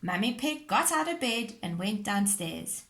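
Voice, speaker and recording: A woman's voice: medium pitch, big pitch swings, a little slow, fairly bright tone. A woman reading a scripted children's story aloud as narrator. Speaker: storybook reader.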